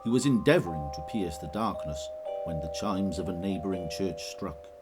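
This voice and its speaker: A man narrating a classic story in a measured, expressive reading. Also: sits low, over loud music.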